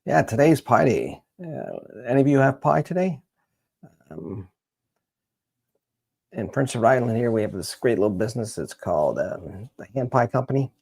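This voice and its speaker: A Canadian man hosting a casual livestream, chatting to viewers in a rambling, halting way as he thinks aloud, with a gravelly, husky voice. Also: mid-range voice, sing-song, a little mellow. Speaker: livestream host, genealogist.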